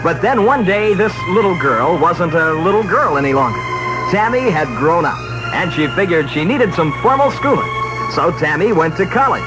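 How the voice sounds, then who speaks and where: A man's voice: high-pitched, animated, normal speed, poor audio. A man reading a scripted, storybook-like movie commercial as an announcer, on a vintage 1960s radio recording. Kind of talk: scripted storybook-like 1960s film promo narration. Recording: vintage 1961 AM radio aircheck. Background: music.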